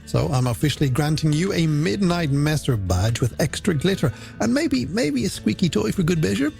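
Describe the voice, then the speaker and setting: A man's voice: fairly high pitch, big pitch swings, moderate pace, vocal fry, darkish tone. A man presenting late-night music radio, with playful, theatrical and wordy banter. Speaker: radio host. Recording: music bed behind the voice.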